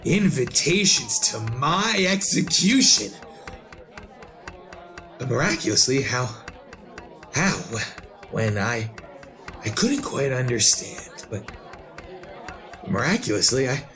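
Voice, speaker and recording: An actor playing a frantic condemned murderer telling his story in a retro radio drama, halting and repeating himself. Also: medium pitch, very animated, bright, forceful tone, full character voice. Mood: bewildered, halting. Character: frantic condemned murderer recounting witnessing his own execution.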